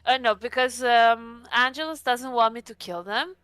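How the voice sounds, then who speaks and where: Woman, tabletop player: clear, bright voice on a dry mic, playful casual banter. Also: fairly high pitch, normal speed, no room sound, faint music behind. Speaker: player in a tabletop roleplaying game. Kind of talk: casual in-character roleplay and table talk.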